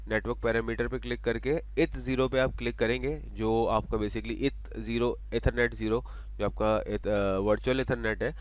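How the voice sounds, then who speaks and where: A man presenting a casual software tutorial, talking through steps and dropping in English technical terms. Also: on the low side, dry recording. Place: voice-over for screen recording.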